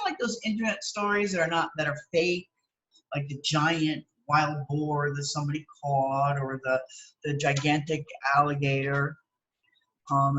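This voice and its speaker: A woman's voice: lowish voice, fairly bright tone, rough recording. A woman with an American accent teaching through a read-aloud, adding explanatory asides and often checking in with "okay?".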